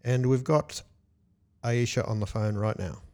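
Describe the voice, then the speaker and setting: A man's voice: mid-range voice, steady tone, unhurried, mellow. A man hosting a radio interview, friendly and a bit hesitant, with frequent restarts. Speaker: radio host.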